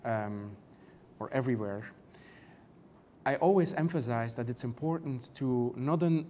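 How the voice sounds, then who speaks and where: A man with a European accent, a canon law professor speaking on a conference panel in a measured way, with many fillers and rhetorical questions. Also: mid-range voice, a little mellow, a little hiss or hum.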